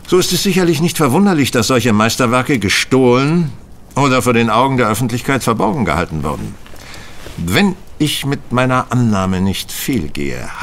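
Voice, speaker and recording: A man's voice: medium pitch, fairly bright tone, a little hiss or hum. An actor playing a confident, methodical male detective in an audio drama, lecturing with precise articulation.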